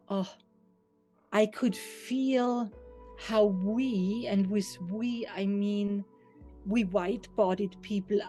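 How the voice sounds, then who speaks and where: A woman's voice: medium pitch, dark tone. A woman from Austria, sharing her experience in a thoughtful, earnest way. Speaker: interviewee, life coach. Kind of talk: reflective testimony.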